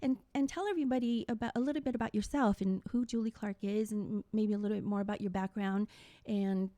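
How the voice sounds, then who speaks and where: A woman's voice: medium pitch, steady tone, fast talker, warm tone, no echo. Effusive woman hosting, close dry sound. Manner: effusive, informal, addresses viewers directly. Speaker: talk show host. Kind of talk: casual live-stream interview chat.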